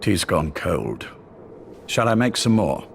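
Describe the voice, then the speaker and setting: An actor playing a courteous, weary older spymaster, speaking in a genteel, deliberate, quietly menacing way in an audio drama. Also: male voice, sits low, very animated, vocal fry, noisy background, full character voice. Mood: intimate, calculating. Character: courteous, weary, secretive older spymaster running covert missions.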